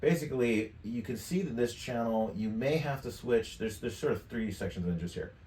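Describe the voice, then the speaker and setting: A man in his fifties giving a casual software tutorial, thinking aloud in an offhand, mildly profane way. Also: medium pitch, lively intonation, brisk. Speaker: tutorial presenter. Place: indoor home studio desk. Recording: roomy, distant mic, background noise.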